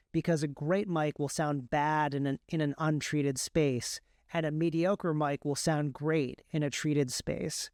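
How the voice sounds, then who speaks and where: A man's voice: a little high, clean tone, no room sound, clean studio sound. A man explaining things as an interview guest, in detail and with emphasis, often backtracking to qualify his points. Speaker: guest, indie author and audiobook narrator.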